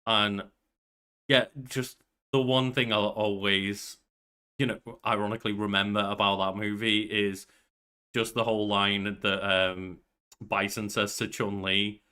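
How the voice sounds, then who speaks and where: Bright-voiced, lowish man chatting. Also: little pitch movement, unhurried, clear, resonant tone. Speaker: podcast co-host. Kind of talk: casual chat. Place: indoors at a desk, on a video call.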